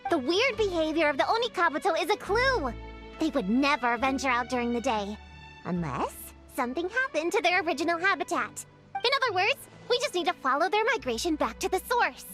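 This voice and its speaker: A woman's voice: extremely high pitch, sing-song, brisk, heavily acted. A woman voicing an earnest, responsible young girl in a video game, matter-of-fact as she reasons things out. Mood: thoughtful, serious. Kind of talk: video game character acting. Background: loud game music.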